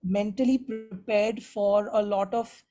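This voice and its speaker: A man's voice: high-pitched, steady tone, a little slow, mellow, faint music behind. A man giving conversational answers as a musician being interviewed. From India.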